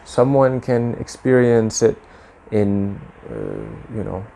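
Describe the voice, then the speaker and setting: A man, a Sufi practitioner, giving measured, explanatory interview answers, dropping in Arabic religious terms. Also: mid-range voice, fairly even intonation, warm tone, a little hiss or hum. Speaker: guest, Mevlevi Sufi practitioner.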